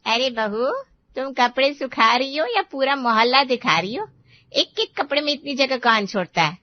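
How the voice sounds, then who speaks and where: A woman voicing a sharp-tongued, scheming older aunt in a cartoon, with a mocking, taunting way of talking. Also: high voice, lively intonation, normal speed, no echo, dull audio. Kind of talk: Hindi cartoon voice acting.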